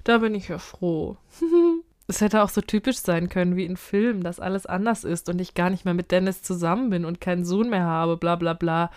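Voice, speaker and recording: A woman's voice: on the low side, normal speed, a little mellow, no echo, clean studio sound, close to an everyday voice. A woman in her twenties playing a bewildered young actress who regrets her wish, in an amateur audio drama. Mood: delighted. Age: 20s.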